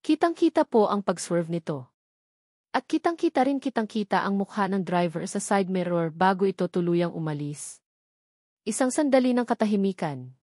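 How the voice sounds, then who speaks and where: A woman's voice: medium pitch, animated, moderate pace, darkish tone, no echo, studio quality. A woman with a Filipino accent reading a dramatic scripted story as its narrator.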